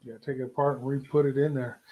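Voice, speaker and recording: A man's voice: mid-range voice, level tone, fast, phone-quality sound. A man chatting casually as a livestream co-host and relaying comments from viewers.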